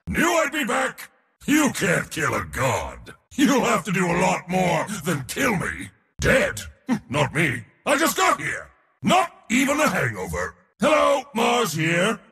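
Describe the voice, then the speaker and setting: A swaggering god of war from a video game, a man hungry for battle and very pleased with himself. His fairly high male voice has a gravelly edge and swings hugely in pitch. The delivery is grand, boastful and theatrical. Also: fairly bright tone, in a large hall, full character voice. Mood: smug, cheerful. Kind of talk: video game character voice lines.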